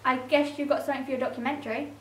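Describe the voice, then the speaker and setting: Young woman acting as cocky student girl, fairly high voice, self-assured, sassy, echoey room, mockumentary drama. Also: steady tone, racing, recorded from a distance, lightly acted. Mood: indignant, smug. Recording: roomy, slightly noisy, off-mic.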